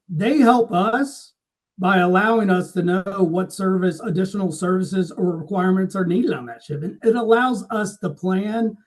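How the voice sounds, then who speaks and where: A middle-aged man talking business casually as a podcast co-host. His voice is clearly high for a man. He comes across as agreeable, backing up the point with plain affirmations. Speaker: podcast co-host, freight industry veteran.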